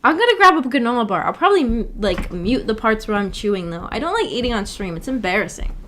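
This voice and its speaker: A woman's voice: fairly high pitch, sing-song, fast, a bit bright, slight background noise. A woman hosting a livestream, chatting casually in a musing, hesitant, giggly way. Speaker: livestream host. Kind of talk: casual livestream chat about a visual novel.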